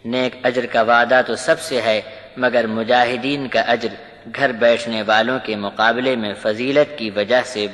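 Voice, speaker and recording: A man narrates a religious translation in a measured, solemn way. His voice sits at a middle pitch with a bright, clear-cut edge. A long hall reverb follows his words. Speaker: reader of a Quran translation.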